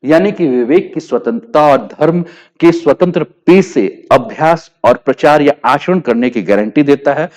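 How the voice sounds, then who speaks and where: An Indian man with a slightly high voice speaks as a teacher giving a lecture. He explains in a didactic way, restating each point in other words. His sentences are padded with habitual tags. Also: lively intonation, moderate pace, darkish tone. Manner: didactic, repeats and rephrases points, frequent verbal tags. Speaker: teacher of current affairs for exam students. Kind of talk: explanatory lecture in Hindi with English terms.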